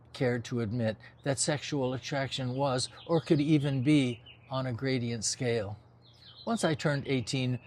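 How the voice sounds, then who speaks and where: Older man, author reading, mid-range pitch, literary memoir style, measured, reflective. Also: darkish tone, a little hiss or hum. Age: over 60. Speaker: author reading his own novel.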